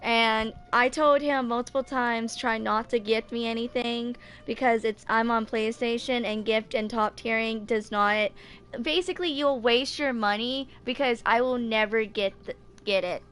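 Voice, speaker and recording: A woman's voice: fairly high pitch, a little slow, fairly bright tone. A woman in her twenties chatting casually as a streamer, rambling informally and repeating phrases for emphasis. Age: 20s. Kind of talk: casual livestream chat.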